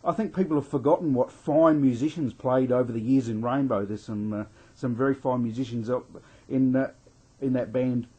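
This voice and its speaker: Male interviewer, slightly husky, mid-range voice, halting delivery. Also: medium pitch, warm tone, lo-fi sound. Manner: halting, repeats words. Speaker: radio interview host.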